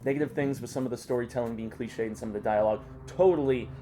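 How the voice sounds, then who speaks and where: Fast-talking man in casual review chat. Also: mid-range voice, background noise. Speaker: co-host of a horror review show.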